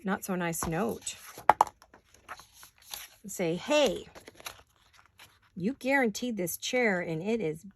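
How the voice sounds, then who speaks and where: A woman's voice: medium pitch, animated, slow, long pauses, background noise. A middle-aged woman rambling chattily while she works on paper crafts in her home studio, joking at her own expense. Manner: rambling, self-deprecating, talks while working. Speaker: craft vlogger.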